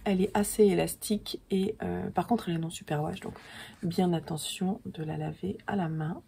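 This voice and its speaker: A woman's voice: lowish voice, fairly even intonation, moderate pace, vocal fry, mellow, a little hiss or hum. A woman in her thirties with a French accent, chatting casually to camera at home, a little stuffy from a cold and full of fillers and restarts. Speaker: podcaster and yarn dyer.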